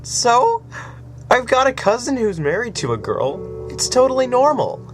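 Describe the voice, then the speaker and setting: A woman's voice: fairly high pitch, big pitch swings, unhurried, over music, pitched above the performer's own voice. Man voicing Twilight, a shy earnest teen girl. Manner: mumbling and earnest, then frantic shouting.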